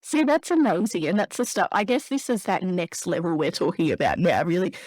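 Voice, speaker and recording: A woman's voice: fairly low pitch, animated, a little fast, a little mellow, no echo, studio quality. A woman hosting a podcast, enthusiastic, asking long rambling questions. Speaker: podcast host. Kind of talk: casual interview chat.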